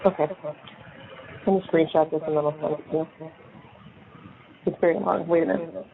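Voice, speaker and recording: A woman's voice: deep voice, little pitch movement, moderate pace, slight background noise. A middle-aged American woman talking and reading aloud casually on a phone call, blunt and indignant, stumbling now and then. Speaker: mother on a jail phone call. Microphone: speakerphone. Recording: muffled phone line on speakerphone, chatter behind.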